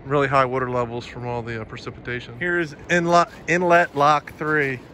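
A man narrating a hiking vlog in a casual, matter-of-fact, halting way as he walks. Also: medium pitch, flat delivery, bright, forceful tone. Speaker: hiking vlogger. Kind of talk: casual walk-along narration. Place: outdoors in woods by a river. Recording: outdoor phone video with ambient noise.

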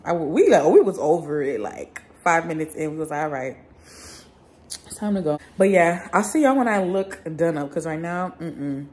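A woman's voice: low-pitched, expressive, moderate pace, darkish tone. A young woman vlogger chatting casually and informally to camera in slangy speech, indoors on a handheld phone. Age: young adult. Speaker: travel vlogger. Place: indoors in villa rooms. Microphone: phone at arm's length. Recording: noisy handheld vlog audio.

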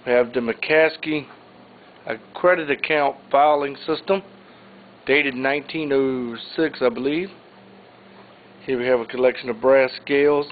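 A man's voice: mid-range voice, fairly even intonation. Man listing auction items, tinny audio. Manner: plain, unhurried item-by-item listing. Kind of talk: informal walkthrough narration. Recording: tinny phone-like audio, faint hiss.

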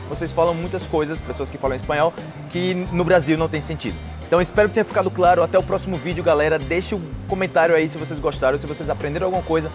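A young man with a Brazilian accent teaching a conversational language lesson to camera, explaining with acted example phrases. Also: on the high side. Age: young adult. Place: outdoors on a patio by trees and a street. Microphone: lapel mic.

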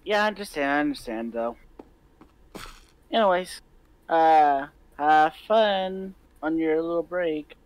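A man improvising an easygoing, tired young newcomer in a Minecraft roleplay, speaking casually and haltingly. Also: on the high side, clear, resonant tone, rough recording. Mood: casual, agreeable.

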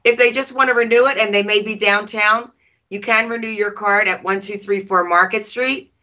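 A woman's voice: medium pitch, steady tone, normal speed, pushed and bright. A middle-aged woman answering questions as a guest panelist, precise and formal, on a video call. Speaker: guest panelist from transit agency. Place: small office. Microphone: video call computer microphone. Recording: slightly dull video call audio.

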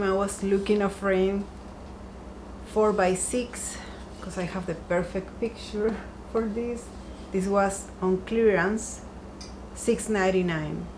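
A woman's voice: mid-range voice, background noise. Chatty woman showing off decor finds. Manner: chatty and upbeat, lingering softly over each item. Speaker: home decor vlogger. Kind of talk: casual shopping haul chat. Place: home dining room, seated by a window.

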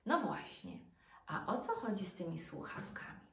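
A woman in her thirties speaks to young children in a lowish, very lively voice. She teaches like a storyteller, posing questions and pausing for effect. The room is audible around her, with some noise behind. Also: vocal fry. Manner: child-directed, expressive, asking rhetorical questions with dramatic pauses. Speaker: doctor and children's storyteller presenting health tips. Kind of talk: educational talk addressed to young children. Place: small furnished room indoors. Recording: roomy, distant mic with background noise.

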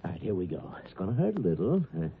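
An actor playing a scheming, fanatical older small-town doctor in 1950s radio drama, with an intense, grandiose delivery. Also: male voice, deep voice, huge pitch swings, fast, full character voice. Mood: exalted, intent. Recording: muffled, noisy 1950s radio recording.

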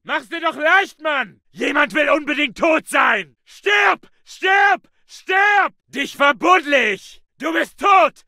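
An actor playing an aggressive, taunting soldier with a fierce, menacing manner in a video game. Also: male voice, very high voice, animated, slow, vocal fry, hardly any pauses, bright, forceful tone, dry recording, raised voice, studio quality, heavily acted. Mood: menacing, gloating. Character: aggressive, taunting German Second World War soldier, male. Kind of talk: shouted video game battle barks.

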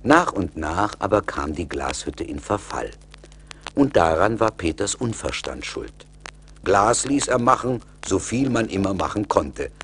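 Casting: male fairy-tale narrator, deep register, lively intonation, radio play. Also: unhurried, a little hiss or hum.

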